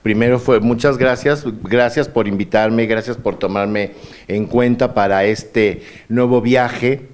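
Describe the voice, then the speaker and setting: A man's voice: mid-range voice, unhurried. Campy Mexican man in his fifties. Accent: Mexican Spanish. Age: 50s. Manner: campy, playful and animated. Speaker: guest. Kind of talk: casual chat.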